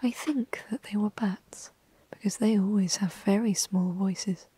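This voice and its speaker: A woman telling a classic storybook tale in a soft, unhurried ASMR whisper. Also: mid-range voice, fairly even intonation, husky, no room sound.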